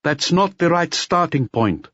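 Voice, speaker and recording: A man's voice: a little high, lively intonation, a little slow, a bit bright, no echo, lo-fi sound, pitched above the performer's own voice. A man voicing a nervous, intense criminal who talks in an agitated, confessional way in an audiobook. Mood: weary, challenging. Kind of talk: audiobook character voice.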